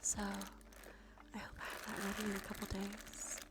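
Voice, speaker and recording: A woman streaming ASMR, talking softly and breathily into a close microphone, gently repeating phrases to her live chat. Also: mid-range voice, monotone, heavy background noise. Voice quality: whispery, breathy. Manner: gentle, slow repetition of phrases. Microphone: close sensitive ASMR microphone. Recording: soft music, crinkling behind. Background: music, crinkling and brushing sounds.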